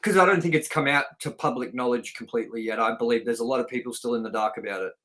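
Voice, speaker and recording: A man's voice: mid-range voice, quick pace, fairly bright tone. A man co-hosting a movie review show, chatting informally and bluntly, with some swearing. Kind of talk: casual review chat.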